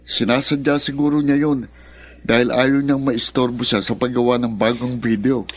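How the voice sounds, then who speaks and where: Actor as flippant mid-pitched younger brother. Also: male voice, flat delivery, moderate pace, husky, lightly acted. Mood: offhand. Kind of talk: Filipino radio drama acting.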